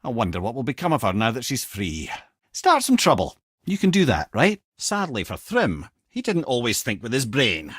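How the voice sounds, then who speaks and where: A loquacious old Scottish sage, a talking head from a fantasy video game. He is wry and avuncular, spinning tales with a widely swinging, expressive pitch. The sound is dry, with no room around it. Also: normal speed, slightly rough, no room sound, full character voice. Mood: wry, teasing. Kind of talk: video game character dialogue and storytelling.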